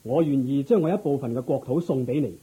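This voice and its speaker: A man playing a dignified, courteous and curious king in a radio drama, with stately, expressive theatrical delivery. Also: mid-range voice, fast talker, husky, muffled recording. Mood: gracious, amused. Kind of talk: Cantonese Buddhist radio drama acting.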